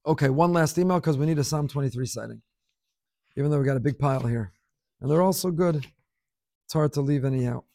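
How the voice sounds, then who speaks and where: A man delivers a spoken Torah class in an earnest, conversational tone, leaning on repetition to drive his points home. His voice sits a bit higher than most men's, and the sound is close and dry with no room around it. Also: fast talker, vocal fry, long pauses, dark tone, dry recording. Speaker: rabbi giving a class.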